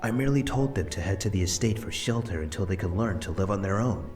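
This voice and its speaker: Male actor as a wise, gentle grandfather ghost. Low voice, calm and reassuring. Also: quick pace, over music. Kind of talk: voice acting in a visual novel.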